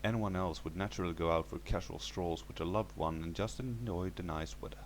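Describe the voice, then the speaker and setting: A man's voice: low voice, a little fast, a little hiss or hum. A man reading a scripted first-person horror story as its narrator.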